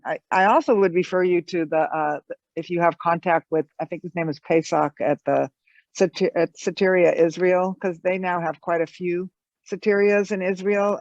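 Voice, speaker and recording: A man with a gravelly, somewhat high voice speaking on a video call, the sound dull and lo-fi. He tells a story animatedly as a panelist, restarting phrases as he goes. The audio is dry and close. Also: no room sound. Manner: animated, telling an anecdote with restarts. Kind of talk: conversational storytelling at an online conference.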